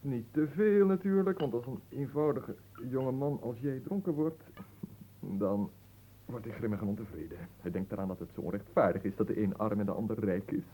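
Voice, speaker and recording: A man's voice: mid-range voice, moderate pace, dark tone, slight background noise, close to an everyday voice, low-quality recording. A man acting in a radio drama as a bored, capricious young nobleman, theatrical, languid and teasing. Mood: teasing, condescending.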